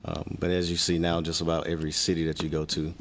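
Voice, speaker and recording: A man's voice: rumbling low, completely flat delivery, quick pace, a little hiss or hum. A man from South Carolina, a business owner guest on a local radio show, talking easily with many ums. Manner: easygoing, agreeable, many ums. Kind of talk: casual radio interview.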